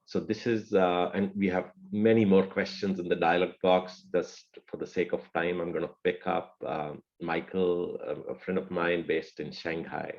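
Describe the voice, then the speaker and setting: A man moderates an online discussion and reads out audience questions politely. His voice is very low with a gravelly edge. The call audio comes through dull and lo-fi. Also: flat delivery, normal speed, few pauses, darkish tone.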